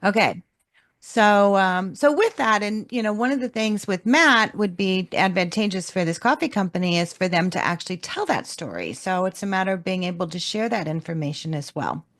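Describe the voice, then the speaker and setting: A woman co-hosting a business webinar, explaining homework questions in a calm, measured teaching manner. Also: mid-range voice. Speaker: webinar co-host, leadership coach. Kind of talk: instructional webinar talk.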